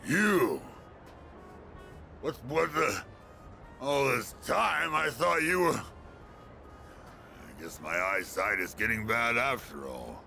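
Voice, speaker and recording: An actor playing a gruff, lonely, middle-aged drunken ex-businessman in a video game, with a blustering, slurred drawl. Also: mid-range voice, big pitch swings, takes it slowly, long pauses, bright, forceful tone, faint music behind, exaggerated voice. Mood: irritated, drunken bluster. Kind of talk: English video game voice acting.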